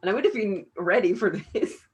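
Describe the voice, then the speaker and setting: A woman's voice: medium pitch, a little fast, darkish tone, muffled recording. A woman chatting casually as a participant in an online book discussion. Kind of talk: casual chat. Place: video call.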